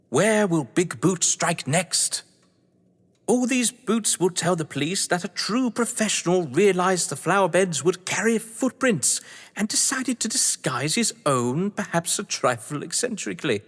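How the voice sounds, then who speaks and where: A man acts out a theatrical English lord of the manor who writes crime novels. He is high-pitched and swoops grandly as he gives pompous, arch orders. The audio drama rings with long hall reverb. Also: a bit bright, exaggerated voice.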